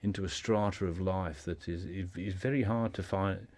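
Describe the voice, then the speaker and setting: A man speaking as a guest in an informal radio discussion, explaining in a halting way and often repeating words. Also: low voice, fairly even intonation, darkish tone, no room sound.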